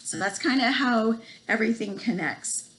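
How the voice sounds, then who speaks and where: A woman in her forties with a cold, losing her voice, giving a halting home video lesson full of ums and stumbling over medical terms. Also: a little high, a bit bright, slight background noise, low-quality recording. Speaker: student presenter. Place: small room at home, indoors. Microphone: laptop webcam.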